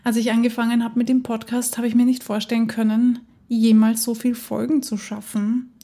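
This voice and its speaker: A woman's voice: fairly high pitch, little pitch movement, moderate pace, mellow. A woman hosting her own podcast, talking alone in a chatty, enthusiastic way and laughing often. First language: German. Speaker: podcast host. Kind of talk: casual solo podcast monologue.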